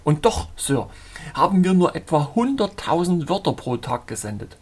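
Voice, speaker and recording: A man reading an audiobook voices a fanatical male preacher who rants in a husky, declamatory way. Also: on the high side, sing-song, moderate pace, voice pushed up. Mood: fervent ranting. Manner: shouting, declamatory.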